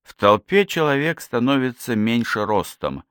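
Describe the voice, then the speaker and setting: A man's voice: medium pitch, expressive, normal speed, a bit bright, smooth, dry recording, studio quality, close to an everyday voice. A man reading the part of an irritable, brooding old Frenchman in an audiobook. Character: bitter, irritable old Frenchman returning to his northern hometown.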